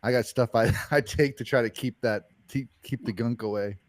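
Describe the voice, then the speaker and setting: A man's voice: mid-range voice, normal speed, dry recording. A man in his forties hosting a casual watch-along stream, easygoing and guiding the guests along. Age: 40s. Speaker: host. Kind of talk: casual chat.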